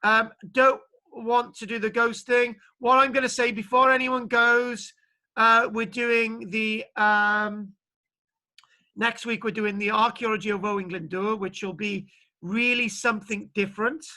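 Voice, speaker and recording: Bright-voiced chatty male lecturer. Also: high-pitched, flat delivery, measured pace. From Britain. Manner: chatty, rambling, jokey. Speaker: archaeology lecturer. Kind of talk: online class lecture and chat. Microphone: video call.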